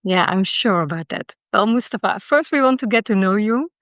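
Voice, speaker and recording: A woman's voice: mid-range voice, expressive, moderate pace, a bit bright, no room sound, studio quality. A woman hosting a podcast interview, friendly and encouraging, with many short agreements. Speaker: podcast host.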